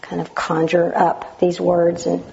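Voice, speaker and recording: A woman with a naturally loud voice reading a passage dramatically with up-and-down intonation, then sharing her memories in a reflective way. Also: lowish voice, monotone, measured pace, vocal fry, lo-fi sound. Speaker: Zen practitioner sharing memories of a teacher.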